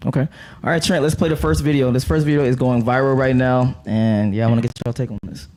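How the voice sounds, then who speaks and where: A man's voice: mid-range voice, fairly even intonation, quick pace, darkish tone. A man hosting a podcast, loose and upbeat, swearing casually. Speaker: podcast host.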